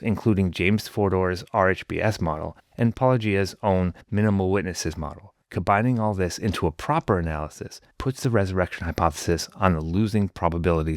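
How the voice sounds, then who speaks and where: Male expert commentator, lowish voice, close dry recording, precise and measured argumentative delivery. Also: no echo. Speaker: physicist and statistics expert guest. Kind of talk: prepared analytical rebuttal commentary.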